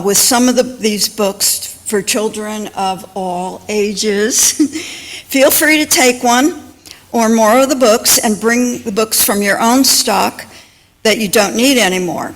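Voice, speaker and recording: A woman reads out informal community announcements at a slow pace, halting and pausing as she goes. Her mid-pitched voice carries a long hall reverb. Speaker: congregation member giving announcements. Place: church sanctuary.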